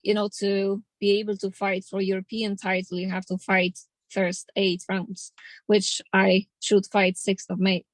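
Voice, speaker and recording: A woman's voice: medium pitch, completely flat delivery, unhurried, no room sound. Polish-accented woman boxer, very dry sound. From Poland. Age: 30s. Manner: plainspoken, determined, non-native grammar. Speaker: professional boxer. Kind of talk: casual interview.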